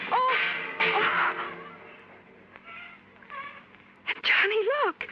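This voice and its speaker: A woman's voice: very high voice, full character voice. A woman playing a frightened, hesitant young companion in a 1950s radio drama, anxious and gasping. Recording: muffled, noisy vintage radio recording.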